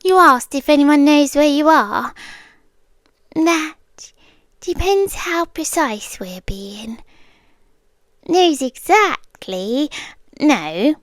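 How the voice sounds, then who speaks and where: A young British woman voicing an obsessive young girlfriend who has kidnapped her partner, speaking softly and often dropping into whispers, close to the microphone in an ASMR roleplay. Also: high voice, very slow, pauses often, dry recording, exaggerated voice. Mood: coolly menacing. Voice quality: calm, intimate, unsettling. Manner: soft-spoken, slipping into whispers.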